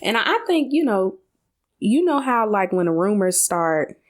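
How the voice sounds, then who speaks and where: A woman's voice: medium pitch, sing-song, unhurried. A woman co-hosting a podcast, telling stories in a casual, conversational way with colloquial asides. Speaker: podcast co-host.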